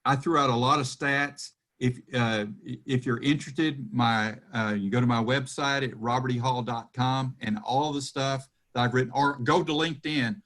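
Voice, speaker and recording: A man who is the guest speaker, talking in a loose, halting way on a video call. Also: mid-range voice, steady tone, a bit bright. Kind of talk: casual video call chat.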